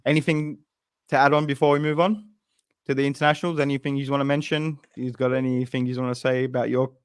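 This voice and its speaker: A man's voice: medium pitch, level tone, fast talker, clean tone, dry recording, studio quality. A man hosting a casual football podcast with his co-hosts, talking loosely and restarting his sentences. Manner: rambling, restarts a lot. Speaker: podcast host.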